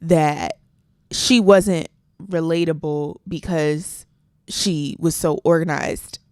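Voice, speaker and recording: A soft-spoken young woman co-hosting a podcast, telling stories in a casual chat and acting out quoted voices. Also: deep voice, slow pace, no room sound, studio quality. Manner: animated storytelling with quoted voices. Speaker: podcast co-host.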